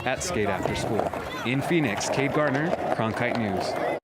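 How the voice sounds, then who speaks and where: A man's voice: mid-range voice, expressive, measured pace, loud music behind the voice. A man in his twenties with an American accent, reading a scripted news report as a reporter. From Arizona, United States. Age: 20s.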